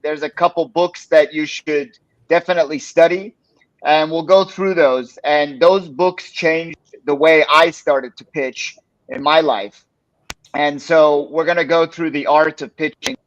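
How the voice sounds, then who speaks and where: Relaxed man presenting on a stream. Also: on the high side, measured pace, highs cut off. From Los Angeles, United States. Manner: relaxed and conversational, with frequent "uh" and "you know". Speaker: presenter of a pitching workshop. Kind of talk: casual live-stream presentation.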